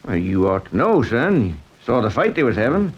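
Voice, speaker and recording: A man's voice: medium pitch, big pitch swings, fairly quick, husky. An actor playing a folksy, easygoing older male police chief with a drawling, colloquial manner in 1940s radio drama. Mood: matter-of-fact. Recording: dull, muffled old radio recording.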